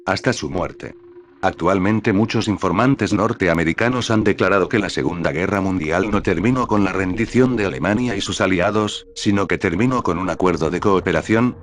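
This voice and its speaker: A man reading scripted documentary narration as the narrator, in a measured, serious manner. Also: sits low.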